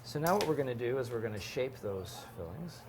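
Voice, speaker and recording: A man working as a dentist who calmly explains each step of a procedure to his patient and the viewers as he works. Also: mid-range voice, brisk, very noisy. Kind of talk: narrating a dental procedure.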